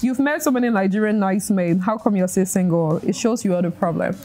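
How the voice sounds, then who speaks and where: A woman's voice: mid-range voice, fairly quick, mellow. A woman hosting a talk show, reading viewer comments aloud with brief acknowledgements.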